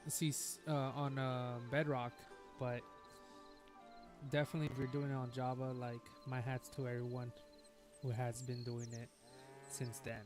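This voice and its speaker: A man's voice: medium pitch, flat delivery, moderate pace, long pauses. A man casually rambling through commentary while he plays a building game, with a lingering cough that keeps breaking in. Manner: chatty, rambling and upbeat. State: nagging cough. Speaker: gaming streamer. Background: game music.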